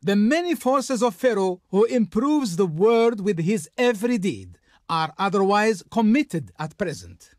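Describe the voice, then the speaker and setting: An actor playing a pompous, scornful envoy of the Pharaoh in a video game, imperious and insulting in a theatrical delivery. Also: male voice, sits high, sing-song, unhurried, darkish tone, no echo, studio quality. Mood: haughty refusal. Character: haughty, scornful male Egyptian envoy of the Pharaoh. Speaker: diplomat character in a strategy game. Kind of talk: theatrical scripted game voice lines.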